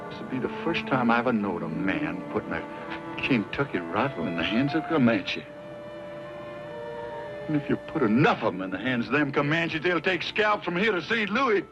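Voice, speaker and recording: Guy playing stubborn old western frontiersman, folksy drawl, pitch jumps around, muffled old film w/ music. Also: sing-song, moderate pace, close to an everyday voice. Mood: indignant, scolding. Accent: American frontier drawl.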